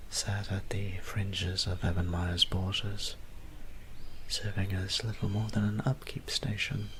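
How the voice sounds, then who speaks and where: A man playing a gentle, weary vampire priest in an intimate audio drama, speaking softly and breathily with formal, old-fashioned, apologetic tenderness. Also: low-pitched, a little hiss or hum. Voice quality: soft, breathy, whispery. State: tired.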